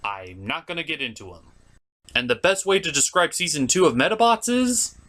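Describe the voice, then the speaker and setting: A man's voice: on the high side, very animated, normal speed, fairly bright tone. A man hosting an enthusiastic scripted cartoon review, emphatic and blunt with casual asides. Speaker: host of a cartoon review show.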